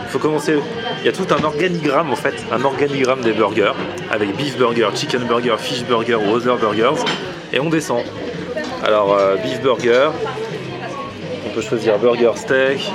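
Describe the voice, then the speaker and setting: Male vlog host, mid-pitched, informal and explanatory, loud music behind the voice. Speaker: food vlogger and host. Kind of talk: casual vlog chat to camera.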